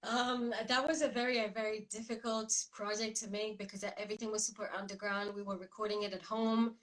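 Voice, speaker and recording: A woman who grew up in Spain, a rapper chatting casually as an interview guest, animated and laughing often. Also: medium pitch, a little fast, rough recording. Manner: animated, frequent likes and laughs.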